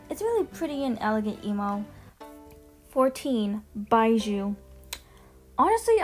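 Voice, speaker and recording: A woman giving casual, chatty commentary as a video host, informal and quick to swear. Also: a little high, darkish tone. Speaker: video host ranking game character demos. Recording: music behind the voice. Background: background music.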